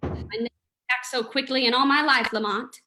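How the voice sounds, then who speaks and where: A brisk lady companion to a radio detective played by a woman, with a bright, fairly high voice. She is wry and inquisitive, in old-time radio drama style. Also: fairly even intonation. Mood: wry, exasperated.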